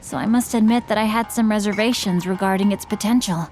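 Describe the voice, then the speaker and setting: A woman's voice: medium pitch, fairly quick, a little mellow, faint music behind, close to an everyday voice. A woman playing a polite, bookish teenage boy novelist in a video game, speaking in formal, eloquent phrases. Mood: earnest, courteous. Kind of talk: video game character voice acting.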